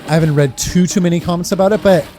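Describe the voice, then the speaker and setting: A man's voice: sits high, rapid-fire, dark tone, soft background music. A man giving casual, rambling playthrough commentary as a game streamer, informal in his wording. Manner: rambling, informal, mild swearing.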